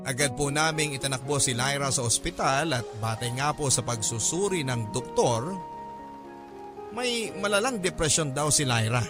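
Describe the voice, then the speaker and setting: A man reading a first-person letter as a radio drama narrator, in an earnest, respectful storytelling manner. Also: mid-range voice.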